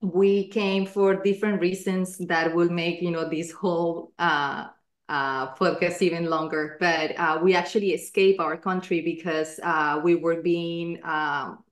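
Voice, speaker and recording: A woman's voice: fairly low pitch, fairly even intonation, measured pace. Colombia-born woman, roomy laptop audio. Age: 40s. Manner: earnest, many fillers and restarts. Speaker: guest, life coach. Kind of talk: video call interview. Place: living room at home.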